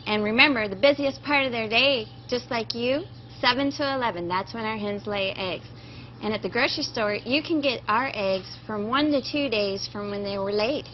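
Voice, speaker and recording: A woman in her forties with a fairly high voice explains farm life to children. The recording is slightly dull, with some ambient noise behind her. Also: moderate pace. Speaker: egg farmer, interview guest. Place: semi-open metal farm shed. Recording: dull audio with background noise.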